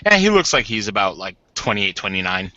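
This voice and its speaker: A man's voice: lowish voice, sing-song, a little fast, pushed and bright, dry recording, highs cut off. A man casually roleplaying as a player in a tabletop game session.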